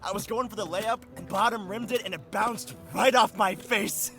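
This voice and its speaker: An actor playing a boisterous, obnoxious young bro with loud slangy delivery in an audio drama. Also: male voice, high-pitched, moderate pace, music in the background. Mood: amused, bragging. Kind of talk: audio drama dude chatter.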